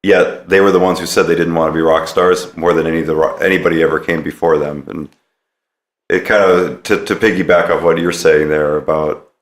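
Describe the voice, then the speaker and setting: Deep-voiced man interviewing, echoey room. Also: little pitch movement, fairly quick. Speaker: podcast interviewer. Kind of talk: conversational interview.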